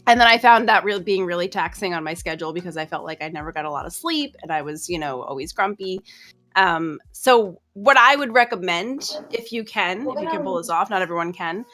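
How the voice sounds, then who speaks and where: A woman's voice: lowish voice, expressive, brisk, a bit bright. A woman guest on a podcast, chatty and earnest, sharing advice with many ums and likes. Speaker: streamer guest. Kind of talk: casual advice podcast chat.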